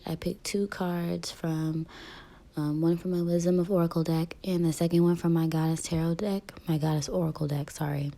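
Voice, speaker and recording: A woman giving a casual daily tarot reading in a raspy, soft, half-whispered voice, with many ums, sounding a little rough from allergies. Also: deep voice. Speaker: tarot reader.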